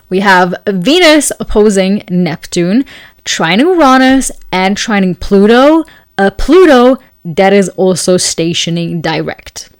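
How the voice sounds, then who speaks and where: A chatty woman from Switzerland talks through the week's astrology as host of her own channel. She sounds earnest and friendly, and drops casual fillers as she goes. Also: mid-range voice. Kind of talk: casual weekly astrology overview.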